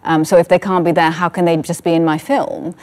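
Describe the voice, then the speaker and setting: Mellow-voiced woman, thoughtful and halting. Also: on the low side, flat delivery. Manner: thoughtful, halting, searching for words. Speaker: writer and essay filmmaker on a panel. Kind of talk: reflective artist talk.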